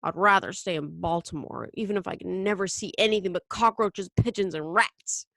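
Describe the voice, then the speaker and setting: A woman's voice: on the low side, normal speed, vocal fry, fairly bright tone, dry recording. A woman voicing a stubborn ten-year-old boy in a storybook reading, plaintive and protesting. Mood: sulky.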